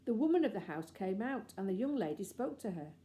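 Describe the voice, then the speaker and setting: Mellow-voiced woman reading a children's story. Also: medium pitch, big pitch swings, fairly quick. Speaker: audiobook reader. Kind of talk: scripted storybook narration.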